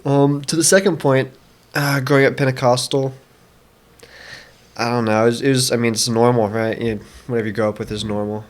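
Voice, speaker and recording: A young man with an American accent chats casually as a podcast guest, his voice sitting at an ordinary middle pitch. He talks in a relaxed way with dry, self-mocking humor. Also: a little fast. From Germany (American raised there). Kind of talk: casual chat.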